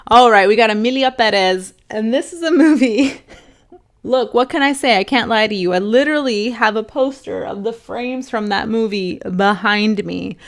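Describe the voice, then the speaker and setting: A young woman vlogger casually reviewing films to camera, rambling with lots of fillers and restarts, a little hoarse. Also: on the high side, moderate pace. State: slightly hoarse. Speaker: film vlogger ranking movies.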